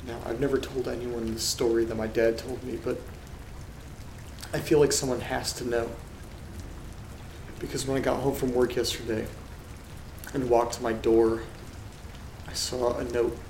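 Gravelly flat-voiced man, rain behind. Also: medium pitch, moderate pace, pauses often. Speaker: host reading letters. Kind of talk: horror audio drama narration. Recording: roomy echo with loud rain noise. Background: rain and thunder.

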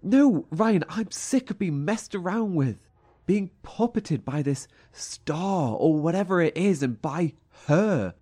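A man's voice: high voice, expressive, slow pace, dark tone, slightly rough, exaggerated voice. A man playing a fiery, fed-up survivor in a horror audio drama, blunt, confrontational and sarcastic. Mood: exasperated.

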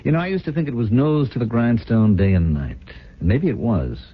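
An actor playing an ambitious, suave husband of about forty who runs a factory, in a husky voice and 1970s radio drama style. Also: male voice, mid-range voice, expressive, fairly quick. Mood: intense, confiding. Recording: muffled, phone-like old radio recording.

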